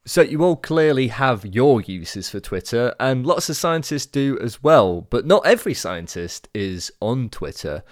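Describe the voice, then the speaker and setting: Male podcast host, very animated pitch, dry close sound, measured scripted delivery. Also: dry recording.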